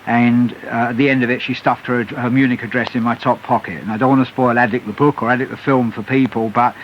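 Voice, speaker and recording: A middle-aged man speaks as a guest in a radio interview, candid and earnest. He leans on repeated phrases for emphasis. His mid-pitched voice comes through a muffled broadcast with a light hiss. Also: level tone, fast. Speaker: interview guest, author and recovered addict. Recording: muffled hissy shortwave-style radio broadcast.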